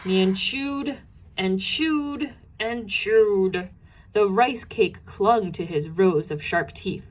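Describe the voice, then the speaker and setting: A woman's voice: medium pitch, drawn-out words, rough recording. A woman narrating a children's storybook aloud, expressively, with dramatic emphasis on key and repeated words.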